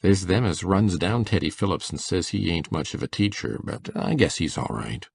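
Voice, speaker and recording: A man acts out a shy, kindly old farmer in a dramatized storybook. The voice is very deep and husky, full of gravel, and swings in pitch. The speech is folksy and hesitant, as if each word needs thinking over. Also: very animated, moderate pace, no room sound, full character voice. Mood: soothing. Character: shy, slow-spoken, kindly older farmer. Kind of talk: full-cast audiobook dramatization.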